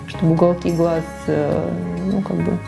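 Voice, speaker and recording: A woman's voice: on the low side, fairly even intonation, measured pace, vocal fry, over music. A woman in her forties talking frankly to camera about her makeup in a small living room, on a lapel mic, with many fillers and self-corrections. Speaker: beauty vlogger. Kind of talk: casual makeup talk to camera.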